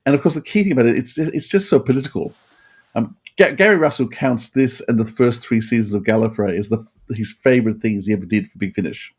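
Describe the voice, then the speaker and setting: A man's voice: medium pitch, quick pace, darkish tone, husky. A middle-aged man hosting a podcast, running through facts and discussing them conversationally. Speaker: podcast host. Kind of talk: conversational trivia rundown and discussion.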